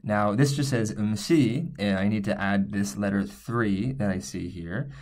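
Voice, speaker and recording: A man's voice: lowish voice, moderate pace, a little mellow. A man teaching a recorded language lesson, explaining patiently and thinking aloud with small fillers as he writes.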